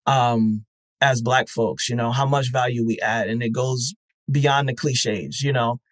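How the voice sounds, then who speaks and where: A man's voice: mid-range voice, fairly even intonation, a little slow, dry recording. A man speaking as a guest, a festival founder and life coach, earnest and encouraging, with frequent ums. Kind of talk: casual interview chat.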